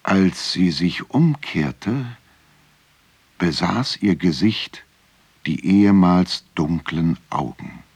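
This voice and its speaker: An actor playing a grave storyteller, narrating a dark folk legend with measured delivery in a radio drama. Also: male voice, sits low, animated, breathy edge, no echo, full character voice.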